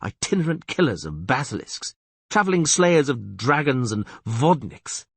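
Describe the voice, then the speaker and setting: A man voicing a pompous middle-aged male castellan who blusters loudly, his pitch swooping high. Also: sing-song, moderate pace, creaky, slightly rough, no echo, full character voice. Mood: grumbling. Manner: loud, theatrical, swoops high.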